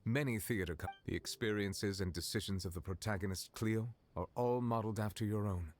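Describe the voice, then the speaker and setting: An actor playing a formal, courteous official of high rank in a video game, speaking in a measured, polite and reserved way. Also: male voice, natural voice. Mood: encouraging. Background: music.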